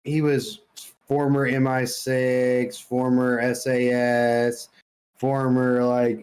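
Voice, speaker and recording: Casual man with a mid-pitched voice. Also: completely flat delivery, slow pace, darkish tone. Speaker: podcast co-host. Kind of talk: casual chat.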